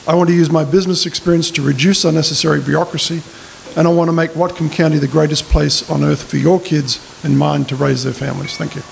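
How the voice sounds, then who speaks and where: A man's voice: a little high, little pitch movement, fast talker, gravelly. A middle-aged man with an Australian accent, a political candidate giving a speech and answering questions at a public forum, speaking plainly into a stand mic in a community hall. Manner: plain-spoken, hesitant restarts when answering questions. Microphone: stand mic at a lectern. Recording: faint hiss in a hall.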